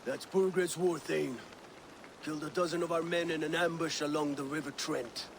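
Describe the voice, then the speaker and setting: Gravelly, commanding Viking war leader. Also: male voice, fairly high pitch, fairly even intonation, normal speed, background noise. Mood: businesslike. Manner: terse, commanding. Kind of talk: dramatic game cutscene acting.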